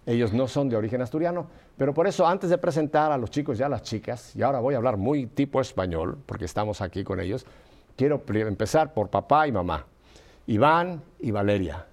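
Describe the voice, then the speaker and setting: A man from Mexico hosting a religious talk show, interviewing guests in an expansive, animated way with playful asides. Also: medium pitch, brisk. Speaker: host of a faith talk show.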